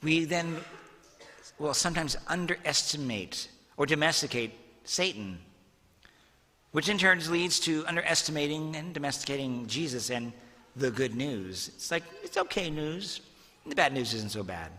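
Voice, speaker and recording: A man, a priest, giving an earnest, measured homily and asking the congregation rhetorical questions. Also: fairly high pitch, lively intonation, pushed and bright. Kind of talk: sermon. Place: church. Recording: long church reverb.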